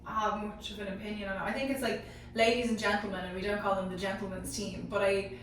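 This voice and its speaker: A woman's voice: medium pitch, little pitch movement, fast, a bit bright. Female panellist, casual conversational delivery, recorded distantly in a heavily reverberant room. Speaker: panellist on a sports discussion show. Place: echoey indoor room. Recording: very echoey room, distant mic, faint noise.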